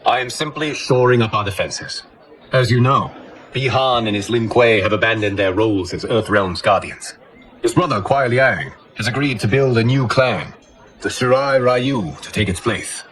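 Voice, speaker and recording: An actor playing a noble, solemn guardian god in a video game, with a formal, measured, dignified delivery. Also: male voice, mid-range voice, fairly bright tone, a faint crowd, lightly acted, poor audio. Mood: calm, gracious. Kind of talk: dramatic video game cutscene acting. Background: music.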